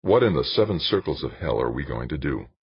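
A man's voice: very deep voice, very animated, fairly quick, gravelly, husky, no echo, muffled recording, exaggerated voice. A man playing a frustrated, bitter jilted lover in an audiobook, grumbling as he talks. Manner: grumbling, muttering. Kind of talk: audiobook character dialogue.

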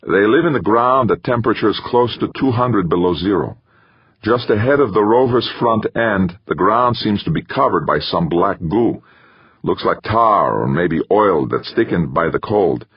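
Man reading as a husky plain-spoken space explorer. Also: lowish voice, expressive, unhurried, no echo, pitched above the performer's own voice, full character voice. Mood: matter-of-fact. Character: gruff stranded male space explorer, resigned and matter-of-fact. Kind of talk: audiobook character dialogue. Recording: dull, muffled lo-fi audio.

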